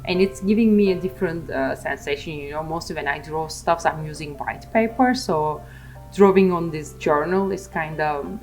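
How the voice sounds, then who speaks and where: A woman's voice: deep voice, moderate pace, a little mellow, poor audio. A young woman with a Turkish accent, chatting casually and self-mockingly over her art vlog. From Turkey. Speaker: art vlogger. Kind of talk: casual voice-over chat while drawing. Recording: faint music underneath.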